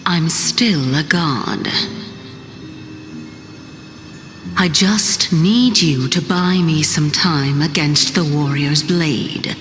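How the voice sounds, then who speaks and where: A woman playing an ancient goddess in a video game, composed and teasing, with measured, poised delivery. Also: low-pitched, fairly bright tone. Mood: confident, amused. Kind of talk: video game character acting. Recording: long reverb, music behind.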